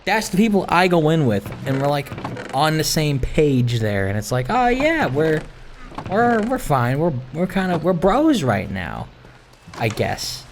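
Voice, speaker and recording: A man hosting a gaming video, rambling casually in a halting, self-conscious way with some swearing. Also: a little high, very animated, a little hiss or hum. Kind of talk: casual rambling chat while playing.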